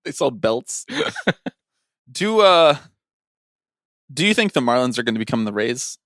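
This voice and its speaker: A man's voice: medium pitch, sing-song, a little slow, a bit bright, no room sound, clean studio sound. A man co-hosting a casual sports podcast, telling stories in a wry, opinionated way. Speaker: podcast co-host.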